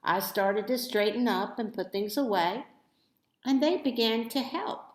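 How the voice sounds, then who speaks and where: A woman reading a children's storybook aloud as expressive narration, with dramatic, deliberate phrasing. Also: fairly high pitch. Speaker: storybook reader. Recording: echoey room.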